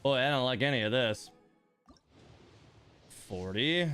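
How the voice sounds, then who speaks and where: Clear-voiced man streaming a game. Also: mid-range voice, expressive, slow, a bit bright, soft background music. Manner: exasperated, muttering asides to himself and viewers. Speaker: livestream host playing a gacha game. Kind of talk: casual livestream chat and commentary.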